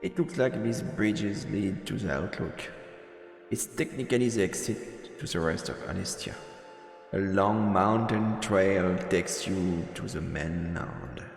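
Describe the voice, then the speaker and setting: A man's voice: low-pitched, measured pace, creaky. A man streaming a live let's play and reading the game's narration aloud over music. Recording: roomy, echoing mic over game music.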